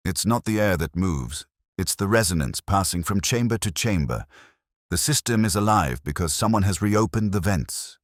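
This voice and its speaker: A coolly rational Victorian detective read by a male narrator speaks with great authority in an audiobook. The voice is extremely low and dry, with formal, precise phrasing and lively movement in pitch. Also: normal speed, no room sound. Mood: urgent, commanding. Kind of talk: audiobook character dialogue.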